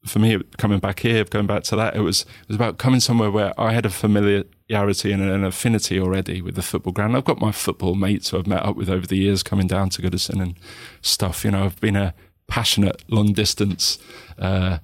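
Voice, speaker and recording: Hesitant soft-spoken southern English man. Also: low-pitched, level tone, fairly quick, hardly any pauses, dry recording, studio quality. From England. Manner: hesitant, many restarts and you-knows. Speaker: guest. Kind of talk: reflective interview talk.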